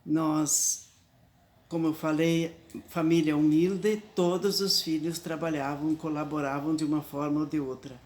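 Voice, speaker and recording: A woman in her late eighties with a Talian-influenced Brazilian accent, whose first language is Talian, telling her memories slowly and reflectively, often restarting words. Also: low voice, fairly even intonation, a little mellow, slight background noise. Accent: Italian-Brazilian Talian-influenced Portuguese. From southern Brazil. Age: 80s. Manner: slow, reflective, with frequent restarts. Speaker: storyteller. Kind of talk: personal memoir.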